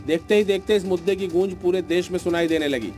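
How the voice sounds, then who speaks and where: A man narrates a scripted news report in a high-pitched voice. His delivery is dramatic and punchy, with faint music underneath. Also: fairly even intonation, quick pace, darkish tone. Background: light news music.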